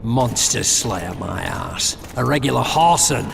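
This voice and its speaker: An actor playing a foul-mouthed, belligerent dungeon guard with a gravelly voice in a video game cutscene. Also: male voice, on the low side, expressive, heavily acted. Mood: scornful. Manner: coarse, belligerent, drawn-out delivery. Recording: echoing dungeon reverb with music behind.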